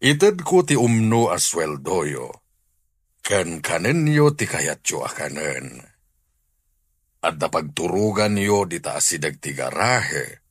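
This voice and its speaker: A man acting a generous, wealthy householder in a radio drama, measured and authoritative, with an Ilocano accent. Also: deep voice, husky, dry recording, studio quality, heavily acted. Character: generous, welcoming wealthy householder hiring servants.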